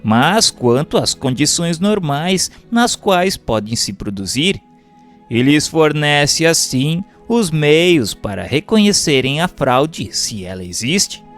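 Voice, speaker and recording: A Brazilian man reading formal scripted narration aloud in a measured, declamatory way, like an audiobook. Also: on the high side, sing-song, a bit bright. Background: music.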